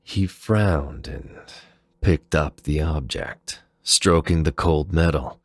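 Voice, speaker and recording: A man reading dramatic fan fiction as a scripted narration in a measured, deliberate manner. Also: a bass voice, no room sound.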